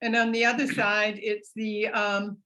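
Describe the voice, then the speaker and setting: A woman artist haltingly describing an image over a video call. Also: medium pitch, little pitch movement, bright, forceful tone, rough recording.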